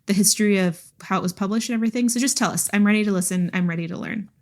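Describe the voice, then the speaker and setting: A woman's voice: mid-range voice, racing. A woman co-hosting a podcast, talking casually and emphatically about books, with "like" sprinkled through her sentences. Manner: chatty, emphatic, peppers talk with "like". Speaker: podcast co-host. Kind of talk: casual book discussion.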